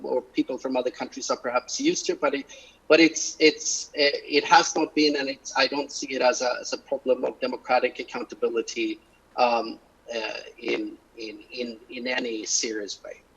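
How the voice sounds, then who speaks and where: A man speaking haltingly as a panelist in an online webinar, with many fillers and restarts. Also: medium pitch, level tone, vocal fry, a bit bright, husky. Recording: muffled online audio.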